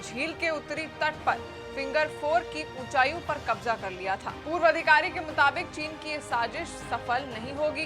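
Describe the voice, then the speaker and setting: A woman presenting scripted news in a formal, emphatic delivery. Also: high-pitched.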